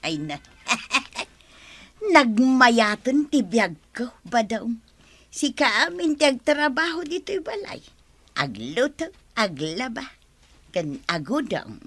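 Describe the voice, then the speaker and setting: An actress playing a doting, teasing wife in an Ilocano-accented radio comedy drama. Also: female voice, a little high, big pitch swings, slow pace, a bit bright, no echo, heavily acted. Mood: affectionate.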